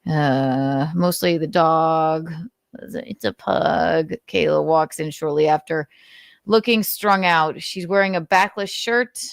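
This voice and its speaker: A woman's voice: low-pitched, takes it slowly, gravelly, no room sound, clean studio sound. A woman livestream host doing a dramatic reading, breaking off into sweary, sarcastic casual commentary. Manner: sweary, sardonic asides.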